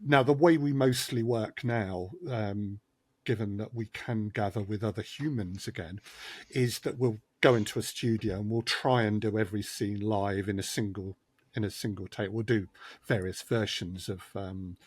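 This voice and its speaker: A man's voice: lowish voice, fairly even intonation, moderate pace, breathy edge, dry recording. A man, a podcast guest, thoughtfully explaining his production process step by step. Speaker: guest, audio drama producer. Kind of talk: casual podcast conversation.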